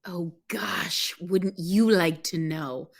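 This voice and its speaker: A woman's voice: fairly low pitch, steady tone, slow pace, creaky. Enthusiastic woman telling her story as a guest. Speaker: podcast guest. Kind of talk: casual podcast interview.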